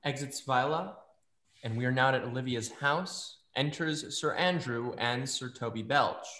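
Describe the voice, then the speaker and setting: A man's voice: mid-range voice, a little slow, sharp tone, echoey room. A man in his twenties reading out stage directions for an online play reading over a video call. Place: indoor room at home.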